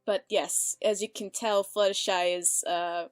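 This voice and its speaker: A woman's voice: medium pitch, steady tone, unhurried. A woman giving casual, chatty, amused reaction commentary. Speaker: reaction commentator, fan artist and voice actor. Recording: music behind the voice. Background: cartoon soundtrack with music.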